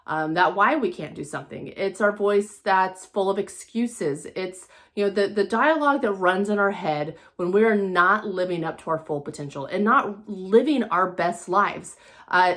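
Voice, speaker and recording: Low-voiced woman in casual motivational talk. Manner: conversational, repeats phrases for emphasis. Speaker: motivational vlogger.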